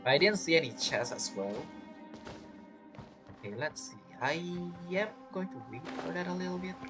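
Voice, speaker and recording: Animated man musing through a game. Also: a little high, normal speed. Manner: musing, repeats phrases, questions aloud. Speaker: gamer narrating a playthrough. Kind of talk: casual game commentary. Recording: game music behind the voice.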